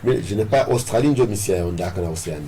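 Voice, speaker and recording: A man's voice: fairly low pitch, lively intonation, racing, mellow. A man with a Congolese accent being interviewed as a political candidate, switching between Swahili and French in an emphatic, self-assured way and repeating phrases. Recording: clearly noisy background ambience.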